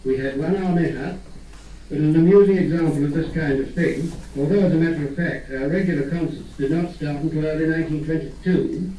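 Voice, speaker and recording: Guy on an old archive clip, sounds far off and roomy, a bit high. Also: dark tone, a little hiss or hum. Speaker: archive engineer speaker. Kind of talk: archival recorded talk. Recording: old archival recording played in a room, distant and echoey.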